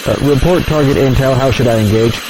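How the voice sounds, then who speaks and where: An actor playing a conscientious military fighter pilot in an audio drama, speaking in terse radio procedure. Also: male voice, medium pitch, fairly quick, close to an everyday voice. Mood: focused. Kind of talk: modern audio drama acting. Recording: radio chatter over engine noise.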